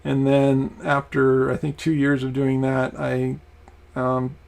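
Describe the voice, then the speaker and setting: A man's voice: medium pitch, completely flat delivery, slow, gravelly, darkish tone. A young adult man from Maine, a private detective, talking casually with many ums and restarts. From Maine, USA. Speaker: podcast host. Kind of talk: casual talk.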